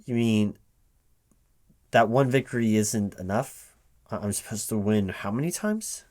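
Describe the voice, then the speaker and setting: Man, lowish pitch, spoken ASMR roleplay, casual, hesitant, halting, thinking aloud to an unheard partner. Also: a little mellow. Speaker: recruiting manager interviewing a gamer in a one-sided roleplay. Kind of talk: spoken ASMR roleplay, casual one-sided conversation, not whispered.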